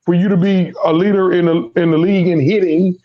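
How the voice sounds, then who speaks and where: A man's voice: high voice, fairly even intonation, a little fast, lo-fi sound. A man who is losing his voice, hosting casual sports talk and rambling with lots of repeated words. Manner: rambling, repeats words, Southern American slang. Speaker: sports podcast host.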